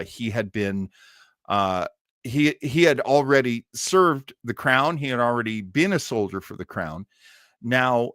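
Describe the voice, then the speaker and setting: An American man hosting a casual live stream, talking in a halting, theatrical, self-deprecating way. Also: medium pitch, a bit bright, no echo, studio quality. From United States. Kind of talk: casual live chat introducing an old radio show.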